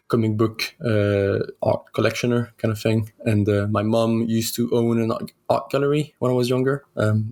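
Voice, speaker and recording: Gravelly Swiss guest, relaxed and hesitant. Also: male voice, fairly low pitch. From Switzerland. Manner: relaxed, hesitant, many ums and restarts. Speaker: guest concept artist. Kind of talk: casual interview storytelling.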